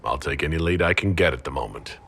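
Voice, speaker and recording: An actor playing a wry, teasing leader of a fantasy order in a video game, with a dry, understated manner. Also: male voice, rumbling low, lively intonation, fast, fairly bright tone, slightly rough, heavily acted. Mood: serious.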